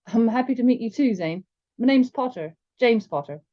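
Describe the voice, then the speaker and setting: A woman's voice: fairly high pitch, animated, normal speed, voice pushed up, natural voice. A woman voicing a modest, friendly young wizard boy in a storybook, casual and understated. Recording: slightly dull, lo-fi sound.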